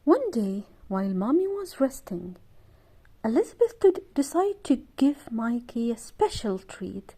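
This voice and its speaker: Slow, lively woman storyteller, high voice. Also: husky. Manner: animated character voices, deliberate phrasing. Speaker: storyteller reading a children's book aloud. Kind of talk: expressive read-aloud storytelling for young children.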